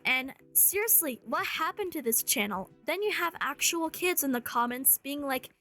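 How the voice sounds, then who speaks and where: A woman with a high voice delivers a scripted online rant. She is sarcastic and jokes as she criticizes, slipping into mocking asides. Faint music plays behind her. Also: expressive, normal speed, pushed and bright. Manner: sarcastic, joking. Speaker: commentary YouTuber.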